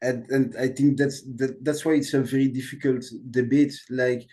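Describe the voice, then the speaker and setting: Man from Belgium, mellow voice, non-native speaker, earnest, halting. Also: mid-range voice, little pitch movement. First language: not English. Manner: earnest, halting, with many restarts and fillers. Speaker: podcast guest. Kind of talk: casual conversation.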